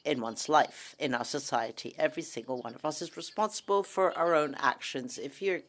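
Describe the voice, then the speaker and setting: An interpreter at a mid pitch delivers a debate reply in measured, plain sentences. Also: a bit bright.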